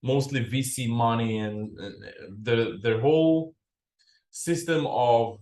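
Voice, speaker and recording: A man who founded a freight company, explaining shipping trends as a podcast guest, unhurried and full of fillers and restarts. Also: mid-range voice, darkish tone. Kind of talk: explanatory business interview. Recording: roomy echo.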